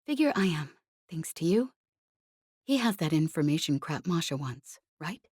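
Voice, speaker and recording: A woman's voice: deep voice, very animated, moderate pace, a little mellow, slightly rough, dry recording, heavily acted. Lady narrator doing cocky smuggler pilot girl, sassy n clipped, audiobook style, dry sound. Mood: cocky, breezy. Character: brash, cocky young woman smuggler pilot. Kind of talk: audiobook character voice.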